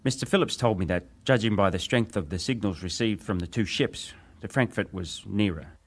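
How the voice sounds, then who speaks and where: An actor playing a ship's wireless operator, a respectful but defensive witness giving clipped testimony in a dramatised hearing. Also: male voice, on the low side, a little fast. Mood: respectful, matter-of-fact. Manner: clipped, respectful, matter-of-fact.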